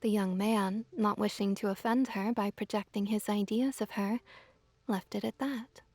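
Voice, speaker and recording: A woman voicing a gently dominant, teasing kitsune witch in an intimate ASMR roleplay, speaking softly and close, often near a whisper. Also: mid-range voice, steady tone, no echo, lightly acted. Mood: gentle wistful storytelling. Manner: soft, breathy, slow cooing delivery, often near whisper. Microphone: close ASMR microphone.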